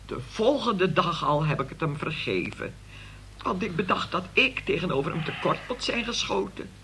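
A woman's voice: lowish voice, lively intonation, normal speed, fairly bright tone, slight background noise. An actress playing a middle-aged, forgiving mother who tells long, solemn, pious stories to her son in a radio play. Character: forgiving, long-suffering mother recounting family disaster to son.

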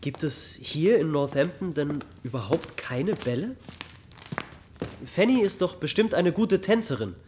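Radio play: playful young brother, boyish and warm-hearted, raised pitch, bouncy intonation. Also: male voice, lively intonation, a little slow, a little hiss or hum. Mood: affectionate, eager. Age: young adult. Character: lively, affectionate young brother, eager and boyish. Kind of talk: German radio play acting.

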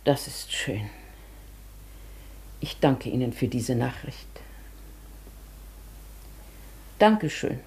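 A woman playing a dignified, wistful hotel guest in a German radio drama, with a soft, often breathy voice and a formal, measured manner. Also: rumbling low, big pitch swings, heavily acted. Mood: restrained, polite. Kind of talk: German radio play acting.

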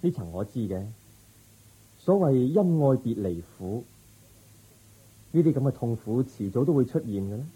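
A resolute prince, dark husky voice. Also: male voice, medium pitch, sing-song, low-quality recording. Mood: earnest. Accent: Cantonese. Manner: earnest, measured, firm. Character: resolute young prince turned spiritual seeker, calm, compassionate. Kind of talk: Cantonese radio drama acting.

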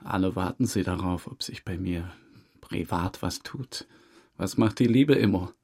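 A low, husky male voice plays an evasive suspect in a radio drama. He talks haltingly and breathily, defensive and embarrassed about himself. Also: dark tone, full character voice. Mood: resigned. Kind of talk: radio drama interrogation dialogue.

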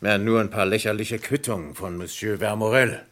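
Sceptical, methodical police commissioner for radio crime drama, male actor, low-pitched, formal and matter-of-fact. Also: fairly quick, fairly bright tone, close to an everyday voice. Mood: matter-of-fact, hesitant.

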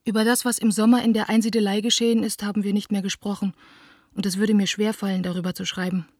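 A woman's voice: mid-range voice, flat delivery, fast, a little mellow, dry recording, studio quality, natural voice. An actress playing a young woman theatre director who reads her letters in a radio drama, reflective, plain-spoken and confiding. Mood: tender, hesitant.

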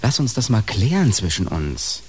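An actor playing a bitter, self-loathing man in a naturalistic radio drama, probing and halting in his speech. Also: on the low side, very animated. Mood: insistent, tentative. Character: bitter, self-loathing male housemate seeking friendship. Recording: hissy radio play recording.

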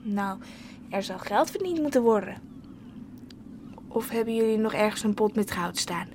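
A woman's voice: mid-range voice, animated, normal speed, darkish tone, slight background noise, lightly acted. A woman voicing a practical, inquisitive young girl in a radio play. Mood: probing. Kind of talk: radio drama acting.

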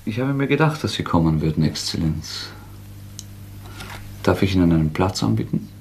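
Casting: proud, bitterly ironic suspect in radio drama, lowish lively voice, some background noise. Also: male voice, normal speed, creaky. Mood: guarded politeness. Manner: sardonic, mock-polite. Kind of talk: German radio drama acting.

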